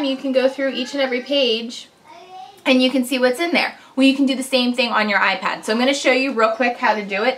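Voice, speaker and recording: A woman's voice: a little high, bright, forceful tone. A woman in her thirties, stuffy from a cold, hosting a casual crafting tutorial vlog straight to camera at home. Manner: chatty and upbeat, talks straight to camera. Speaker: crafting channel host. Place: small room indoors at home.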